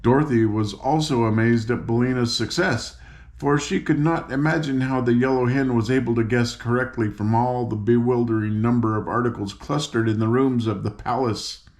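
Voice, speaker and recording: An older man reads a children's story aloud in an informal, folksy way, with chatty asides and stumbles over words. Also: medium pitch. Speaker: audiobook reader.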